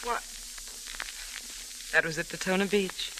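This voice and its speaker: An actress playing a weary, evasive estranged wife in old radio drama style, tense, curt and impatient. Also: female voice, on the low side, measured pace, close to an everyday voice. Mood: edgy, suspicious. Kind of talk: 1940s-50s radio drama acting. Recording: noisy, hissy old radio recording.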